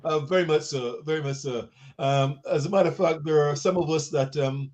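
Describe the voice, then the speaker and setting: A man from Barbados, living in England, chatting as a guest on a video call and often pausing with ums. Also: a little high, a little mellow. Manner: hesitant, many ums. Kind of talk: casual video call chat. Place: home in England. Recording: dull lo-fi video call audio.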